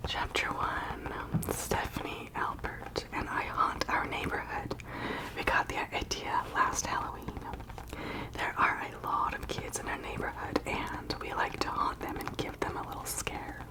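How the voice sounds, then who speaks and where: A woman whispering softly in an ASMR style, breathy and unvoiced, with gentle, deliberate delivery and long pauses. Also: poor audio. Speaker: ASMR channel host. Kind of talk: soft whispered ASMR, chatting and reading aloud.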